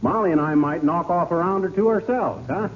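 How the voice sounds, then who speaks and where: A man's voice: high voice, fairly quick, close to an everyday voice. An actor with an American accent playing a bumbling, stubborn, folksy married man in 1940s radio comedy style. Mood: folksy, jovial. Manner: folksy, slangy, blustering. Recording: muffled, hissy 1940s radio recording.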